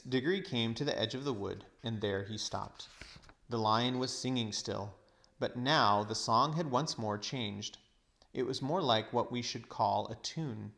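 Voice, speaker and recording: Man narrating a storybook, mid-pitched. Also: vocal fry, a bit bright. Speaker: read-aloud narrator.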